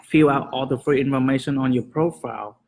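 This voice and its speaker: Husky-voiced man giving casual tips. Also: medium pitch, brisk. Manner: relaxed, many fillers, slightly nonstandard grammar. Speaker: real estate agent and show host. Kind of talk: casual advice talk to camera.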